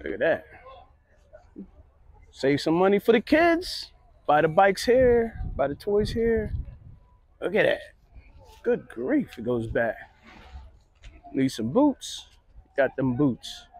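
A middle-aged man gives relaxed, chatty walking commentary at an outdoor flea market, recorded on a handheld phone. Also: sits high, takes it slowly, long pauses, husky, no echo. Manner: relaxed, chatty, pointing things out. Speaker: vlogger. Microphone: handheld phone camera.

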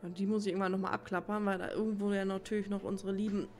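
A woman's voice: medium pitch, level tone, very fast, soft background music. A woman giving casual gameplay commentary, musing as if thinking aloud to herself. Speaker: let's play gamer.

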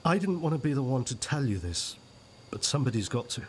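A man's voice: medium pitch, big pitch swings, fast talker, a little hiss or hum, natural voice. An actor playing a well-meaning, hesitant male friend in a radio soap, speaking tentatively and apologetically. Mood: reluctant.

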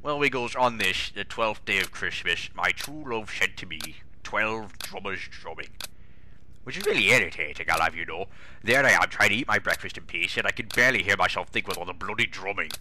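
A man's voice: deep voice, a little fast, pushed and bright, slight background noise. A man voicing a pompous, exasperated older railway boss with a British accent, grumbling indignantly in a comic dramatic reading. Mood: irritated.

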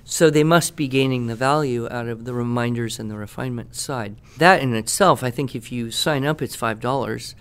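A man's voice: medium pitch, moderate pace, few pauses, a bit bright, clean tone. Enthusiastic middle-aged American man, emphatic talker. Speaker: podcast guest expert and writing teacher. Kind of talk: conversational product talk on a podcast.

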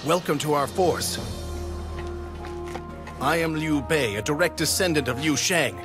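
An actor playing a noble, idealistic young lord in a video game, speaking formally and earnestly. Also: male voice, on the high side, a little slow, over music, natural voice. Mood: earnest, grave. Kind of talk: video game cutscene acting.

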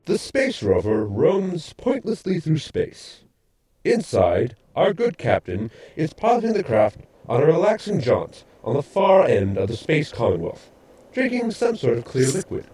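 A man's voice: on the high side, huge pitch swings, measured pace, dark tone, slightly rough, full character voice. A man playing a wry narrator of a space comedy audio drama, with a droll, theatrical delivery.

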